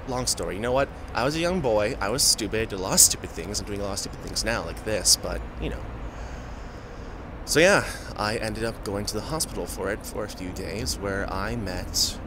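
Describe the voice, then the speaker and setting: A young man performing a first-person audio roleplay, confessing in a halting, reluctant way with frequent sighs, tearful as he talks. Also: lowish voice, noisy background.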